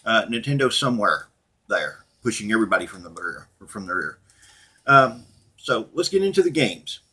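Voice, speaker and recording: A middle-aged man with a husky voice, talking casually and off the cuff as a blunt, profane, opinionated gaming channel host. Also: lowish voice, very animated, normal speed, bright, forceful tone. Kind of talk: casual unscripted rant and commentary to viewers.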